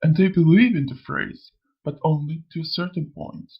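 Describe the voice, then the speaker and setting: Man acting sly old male ghost, motion comic, gravelly and fairly high, theatrical preacher, mocking and amused. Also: moderate pace, lo-fi sound. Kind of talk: scripted motion comic dialogue.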